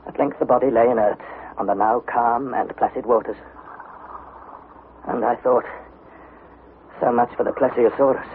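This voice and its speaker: An actor playing an earnest young adventurer, narrating perils theatrically in a vintage radio drama. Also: male voice, mid-range voice, a little hiss or hum, natural voice. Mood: grave. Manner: theatrical, suspenseful. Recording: narrow-band vintage radio broadcast, music behind.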